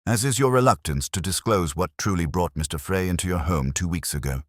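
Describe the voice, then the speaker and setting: A man voicing a grim, shrewd detective in an audiobook, speaking in a grave, incisive, deliberate manner. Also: low voice, no echo, clean studio sound. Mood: cold, pointed. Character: grim, shrewd male detective, coolly commanding. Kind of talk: audiobook character dialogue.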